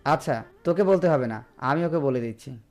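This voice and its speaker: A man's voice: mid-range voice, lively intonation, fairly quick, darkish tone, lightly acted. A man playing an encouraging, insistent young college friend in an audio story. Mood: urging. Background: background music with guitar.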